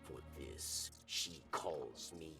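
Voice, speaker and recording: An actor playing a grave, weary four-armed alien captain, an exiled rebel speaking solemnly in a video game. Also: male voice, extremely low pitch, extremely slow, gravelly, distant mic, over music, exaggerated voice. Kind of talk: scripted video game dialogue.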